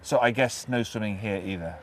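A man's voice: on the low side, a little fast, a little hiss or hum. A man speaking as a television reporter on location outdoors. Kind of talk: piece to camera and interviewing. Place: outdoors by a river.

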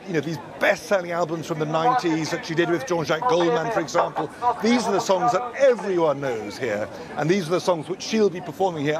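A male correspondent giving a live news report outdoors in a city street, fluent and unhurried, sometimes searching for words. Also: fairly high pitch. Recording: loud crowd behind. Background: crowd of fans.

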